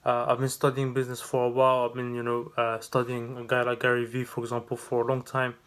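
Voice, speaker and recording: Man in his twenties, from the Netherlands, casual vlog, unscripted, rambling with fillers, fairly flat delivery. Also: mid-range voice, quick pace, clean tone. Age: 20s. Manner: rambling, many fillers and restarts. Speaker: vlogger and new business owner.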